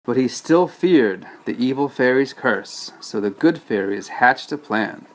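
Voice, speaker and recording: A man's voice: medium pitch, animated, a little slow, poor audio. A man reading a storybook aloud as a father, patiently breaking off to explain things casually to a child.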